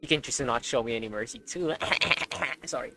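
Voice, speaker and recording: A woman game streamer giving casual, expressive live commentary, joking and swearing as she plays. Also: rumbling low, a little fast, heavy background noise.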